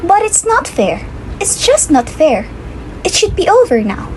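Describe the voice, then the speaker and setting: A woman's voice: very high voice, big pitch swings, measured pace, a little mellow, a little hiss or hum, voice pushed up, full character voice, low-quality recording. A woman voicing an anxious but plucky young girl character in a puppet show. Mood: upset, protesting.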